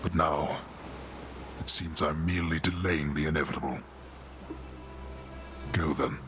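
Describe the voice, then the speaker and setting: A man's voice: very deep voice, noisy background. An actor voicing a stern, brooding god-king of the underworld, a grave and imperious father, in a video game. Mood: grim menace. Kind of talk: dramatic video game acting.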